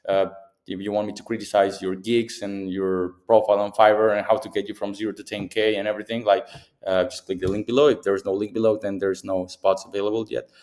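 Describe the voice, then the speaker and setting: A man's voice: fairly low pitch, a little mellow. A young man from Mexico, a native Spanish speaker with a Mexican accent, casually explaining freelancing to camera, rambling and repeating himself with many fillers. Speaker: freelancing coach. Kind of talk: casual tutorial talk to camera. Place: abroad in Bali.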